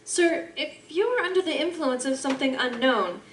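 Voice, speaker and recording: Woman acting a high-voiced formal counsellor, echoing room. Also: expressive, normal speed. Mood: reasoning, persuasive. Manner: formal, polite, reasoning. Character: courteous, reasoning woman questioning and counselling a troubled scientist. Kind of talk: formal period audio drama acting. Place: live convention performance in a room. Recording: roomy, noisy live stage recording, distant mic.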